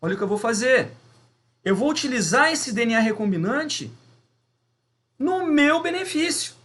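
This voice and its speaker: A man's voice: extremely high pitch, lively intonation, moderate pace, fairly bright tone. A man with a Brazilian Portuguese accent teaching a lesson step by step, emphatic and didactic, often putting questions to his listeners. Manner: didactic, emphatic, with rhetorical questions and check-ins. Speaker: biology teacher. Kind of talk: video lesson, explaining step by step.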